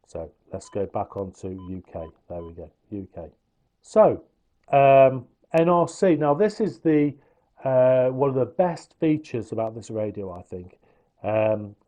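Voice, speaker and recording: A man's voice: lowish voice, warm tone. A man giving an informal product review and demonstration, explaining features in a matter-of-fact way. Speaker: radio gear reviewer.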